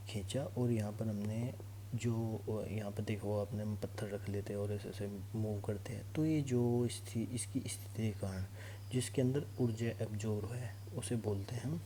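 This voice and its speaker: Casting: male teacher, dark relaxed tone on the low side, informal explaining style, noisy humming recording. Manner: instructional, repeatedly checks understanding. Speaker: physics teacher for exam students. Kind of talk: informal classroom lesson, explaining to students. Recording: clear background noise with hum.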